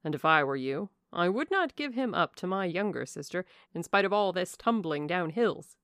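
A woman voicing a jovial, blunt country gentleman in an audiobook of a classic novel, speaking in a bluff, emphatic way. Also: on the low side, lively intonation, moderate pace, no echo, clean studio sound. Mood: teasing. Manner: bluff, emphatic, good-humoured.

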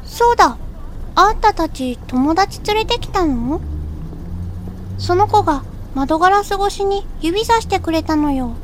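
A woman's voice: very high-pitched, lively intonation, a little slow, a little hiss or hum. A woman playing a chatty, caring big sister to young twins, talking casually and inquisitively in a Japanese audio drama. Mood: casual, curious.

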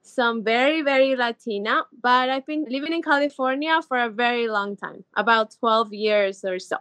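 A woman's voice: a little high, normal speed, fairly bright tone, dry recording. A woman from Ecuador in her thirties, a podcast guest chatting eagerly with lots of "like" fillers. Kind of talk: casual interview chat.

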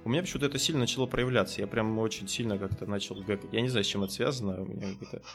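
Fast-talking man with a southern Russian accent. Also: on the low side, faint music behind. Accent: southern Russian, Kursk region. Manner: quick, hesitant questions full of fillers. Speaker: interviewer. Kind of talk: casual conversation.

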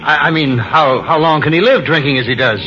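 A man playing an anxious, scheming smooth talker, urgent and insistent, in an old-time radio drama. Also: a little high, a little fast, sharp tone, slight background noise. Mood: urgent, persuasive. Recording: muffled old radio recording.

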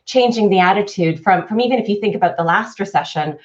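A woman in her forties with a Canadian accent, slightly softened by years in the UK, speaking as a marketing consultant guest in a webinar interview, fluent and animated in long explanations. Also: mid-range voice, quick pace. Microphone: video call. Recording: lo-fi webinar audio.

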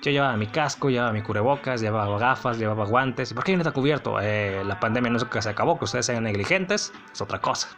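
A male podcast host with a Mexican accent and a mid-pitched voice full of gravelly creak. He tells his story casually and bluntly, wandering as he goes with a sardonic edge. Music plays clearly behind his voice. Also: fast, few pauses, fairly bright tone.

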